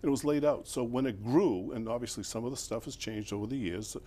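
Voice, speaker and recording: A man's voice: medium pitch, quick pace, mellow. Older Boston man, chatty storyteller. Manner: rambling with fillers and chuckles. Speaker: former mayor, guest. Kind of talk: interview, relaxed storytelling.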